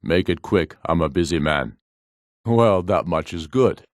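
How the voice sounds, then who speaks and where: Fantasy game rebel jarl, middle-aged: low, dry-recorded voice, proud, authoritative and formal. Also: male voice, lively intonation, unhurried, no echo. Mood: stately, formal. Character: proud, commanding male rebel jarl of a fantasy northern land. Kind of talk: fantasy video game character dialogue.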